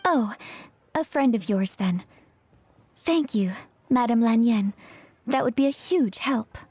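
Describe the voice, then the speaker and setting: High, breathy, demure shopkeeper. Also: female voice, slow, no echo. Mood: polite, grateful. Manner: gentle, courteous, demure. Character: polite, modest, easily embarrassed young female perfume shopkeeper. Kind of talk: English video game voice acting.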